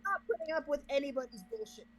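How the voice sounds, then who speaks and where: High, very lively woman chatting. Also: quick pace. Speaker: reaction co-host. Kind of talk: casual reaction chat.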